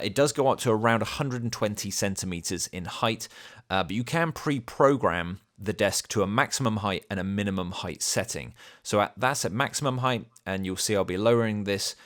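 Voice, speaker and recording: A man's voice: on the low side, a little fast, a bit bright. A man with a cold, his voice a bit thicker than usual, hosting an easygoing product review at home and explaining the features step by step. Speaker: channel host reviewing a product. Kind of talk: casual product review to camera. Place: home dining room.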